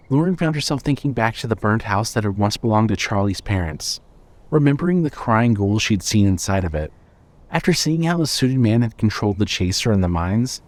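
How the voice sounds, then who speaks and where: A man's voice: medium pitch, darkish tone. A man reading scripted narration for a horror fiction audio drama.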